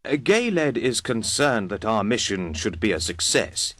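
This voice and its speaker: Lively fantasy military messenger. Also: male voice, fairly low pitch, measured pace. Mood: earnest. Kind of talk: fantasy video game acting.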